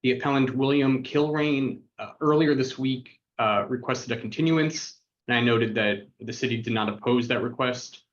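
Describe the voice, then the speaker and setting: A man's voice: medium pitch, moderate pace, dull audio. A man, a city attorney, reading case numbers and addresses in a formal, methodical way at a public hearing over a video call.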